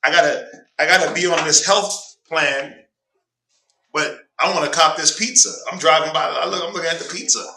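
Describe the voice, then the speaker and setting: Southern guy teaching bible class, stuffy nose, bright sharp voice, echoey room from a ways off. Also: fairly high pitch, steady tone, moderate pace. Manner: conversational, prompts reader with "go ahead", jokes. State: stuffy nose, clearing throat. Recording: echoey room, distant mic.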